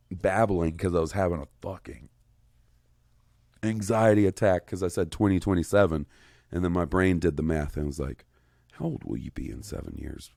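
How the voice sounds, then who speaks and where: A man in his thirties co-hosting a podcast in casual chat, rambling and profane, getting excitable. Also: sits low, vocal fry, dry recording, studio quality. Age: 30s. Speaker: podcast co-host.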